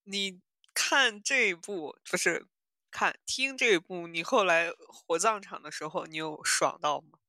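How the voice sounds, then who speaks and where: Girl podcaster, bright crisp-edged voice, super dry room, giggly chatty. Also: lowish voice, measured pace, dry recording. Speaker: podcast host. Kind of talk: casual chat.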